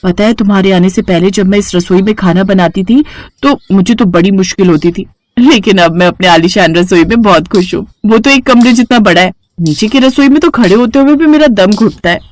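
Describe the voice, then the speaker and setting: A woman's voice: mid-range voice, fairly quick, darkish tone, no room sound, natural voice. A woman voicing a rich, pampered young daughter-in-law in a cartoon story, with a fussy, affected, complaining manner. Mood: smug. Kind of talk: Hindi cartoon bedtime-story character acting.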